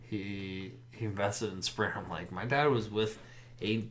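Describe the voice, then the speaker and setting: A man's voice: on the low side, unhurried, a bit bright. Maryland guy rambling on a podcast, laid-back, lots of likes and ums, faint hiss behind. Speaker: podcast host. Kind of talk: casual chat.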